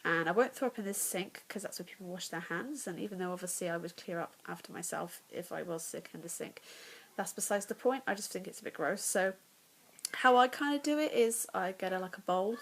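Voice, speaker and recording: A woman's voice: lowish voice, fairly quick, rough recording. A young English woman vlogging casually about her pregnancy, congested and nasal, chatty and candid, often dropping to a whisper, at home in the evening. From England.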